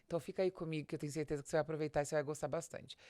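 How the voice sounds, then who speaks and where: A woman's voice: low-pitched, fairly even intonation, very fast, dark tone, no room sound. A woman with a Brazilian Portuguese accent hosts a business podcast in a conversational, explanatory monologue, addressing the listener directly with questions and examples. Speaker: podcast host and business advisor.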